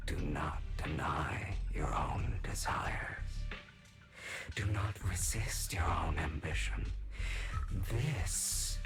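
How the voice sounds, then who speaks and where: A deep, slow, whispering dark presence in a video game, coaxing and tempting. It speaks low and unhurried in a hushed, seductive murmur, with faint music underneath. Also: male voice, exaggerated voice. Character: sinister inner voice, a dark tempting spark.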